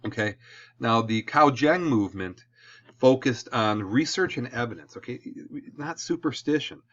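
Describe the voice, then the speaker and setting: A man with a Midwestern American accent, lecturing on history as a teacher in a relaxed classroom-video way, often checking in with "okay?". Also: mid-range voice, normal speed, dull audio.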